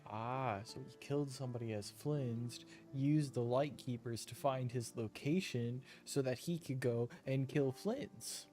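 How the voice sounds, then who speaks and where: Male streamer, unhurried and laid-back, casual halting playthrough commentary, faint music behind. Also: mid-range voice, warm tone. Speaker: game streamer. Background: game music.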